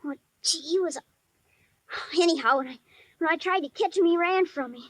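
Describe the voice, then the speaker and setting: An actor playing a shy but tough-talking ten-year-old boy in 1950s radio drama, with halting, slangy speech. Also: very high voice, dry recording. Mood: halting, wistful. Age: child figure, almost eleven. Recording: dry vintage 1950s radio recording.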